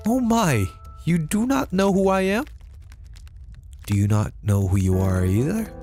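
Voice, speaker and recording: A man's voice: fairly low pitch, very animated, normal speed, dark tone. A formal, devoted young maidservant in a dim mansion, eager yet strangely lifeless, as a man puts her on. Her voice sits well above his own natural register. Faint music plays underneath. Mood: puzzled. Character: pale gloomy young female maid, devoted and polite.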